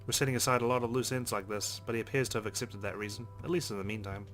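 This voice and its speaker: Fast-talking low-voiced young man reading aloud. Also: fairly even intonation, fairly bright tone, soft background music. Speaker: let's play host. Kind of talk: reading game narration aloud with casual reactions.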